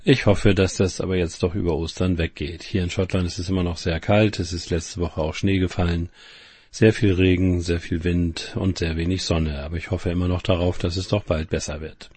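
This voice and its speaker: A man's voice: low voice, steady tone, moderate pace, a little mellow, husky, no echo. A man with a cold, hosting a radio show in a chatty way. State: has a cold. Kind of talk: casual presenting.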